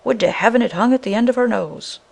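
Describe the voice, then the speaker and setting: A woman narrator acts out a poor woodcutter from a fairy tale, simple and hasty. He has a creaky edge and exclaims in quaint, archaic phrases with some bluster. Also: a little high, quick pace, a little mellow, pitched above the performer's own voice. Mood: angry.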